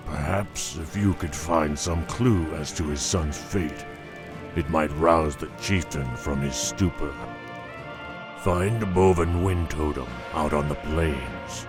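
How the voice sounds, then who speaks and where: An actor voicing a solemn, troubled bull-man tribesman in a video game, very deep and deliberate in delivery. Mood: grave, worried. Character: grave male Tauren tribesman, solemn and troubled. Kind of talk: video game cutscene acting. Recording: music behind the voice.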